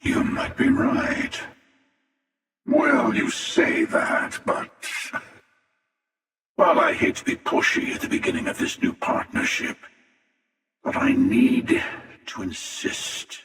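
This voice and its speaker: An actor playing a menacing, sardonic, world-weary armored warrior in a video game, with a gravelly, taunting, unhurried delivery. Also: male voice, high voice, wildly swooping pitch, a bit bright, exaggerated voice. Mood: wry, conversational. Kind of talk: video game character voice lines. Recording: cavernous long reverb, distant.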